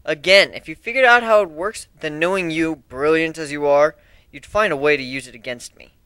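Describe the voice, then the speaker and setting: Bright-voiced, cocky young pirate voiced by a man. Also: on the high side, fairly quick, smooth, no echo. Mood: frank, bantering. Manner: smug, snarky, explaining at length. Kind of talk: amateur dramatic reading of fan fiction.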